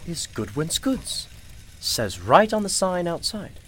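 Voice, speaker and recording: A man's voice: on the high side, sing-song, takes it slowly, a bit bright, slight background noise, heavily acted. A man playing a genial, knowing, mysterious shopkeeper in a radio play, with a courtly, theatrical and cryptic manner. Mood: teasing, courteous.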